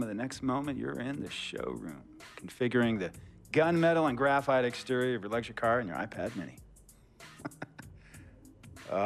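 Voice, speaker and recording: A man's voice: mid-range voice, gravelly. A man playing a chatty, wealthy basketball team owner in a video game cutscene, rambling in a folksy, self-absorbed way.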